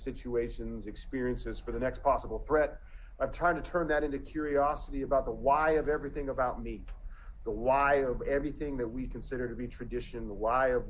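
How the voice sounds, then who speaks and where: A man's voice: medium pitch, slight background noise, rough recording. A man in his forties, a coach speaking on a panel, talking earnestly and reflectively, with frequent restarts. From Montana. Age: 40s. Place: indoor room, video call.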